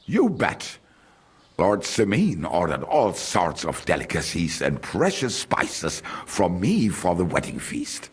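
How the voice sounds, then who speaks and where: An actor playing a grumbling, condescending village merchant in a video game, with a German accent and German phrases slipped in. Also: male voice, low voice, huge pitch swings, slow pace, breathy edge, heavily acted. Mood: aggrieved. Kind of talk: video game character dialogue.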